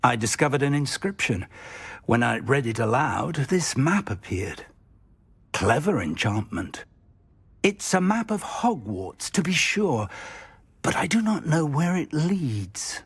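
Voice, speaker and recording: An actor playing an older wizard professor, a kindly mentor with a husky, measured voice. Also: male voice, mid-range voice, sing-song, full character voice. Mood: earnest. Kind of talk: game cutscene acting.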